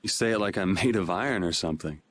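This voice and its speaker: Young man, lowish voice, cool, dry, blunt, casual, game acting, slight background noise. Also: quick pace, a bit bright. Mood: dry, resigned. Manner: dry, casual, matter-of-fact. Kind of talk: video game dub acting.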